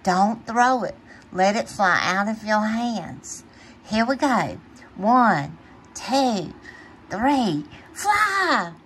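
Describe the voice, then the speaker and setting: Storybook big-brother bear read by a woman: kindly, proud, earnest, lively intonation. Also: medium pitch, extremely slow, slight background noise, heavily acted. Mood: instructing.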